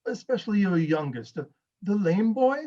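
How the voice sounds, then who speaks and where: Man as high-voiced cheerful nephew. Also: sing-song, normal speed, warm tone. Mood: friendly. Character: Fred, cheerful, good-hearted nephew of Scrooge. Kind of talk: amateur dramatic reading. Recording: dull lo-fi video-call audio.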